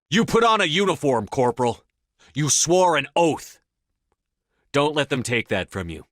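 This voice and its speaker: A man acting in a video game as a commanding, resolute space soldier, speaking firmly with measured authority. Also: fairly low pitch, fairly bright tone, no room sound, clean studio sound. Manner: firm, authoritative, measured delivery. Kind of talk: scripted game dialogue acting.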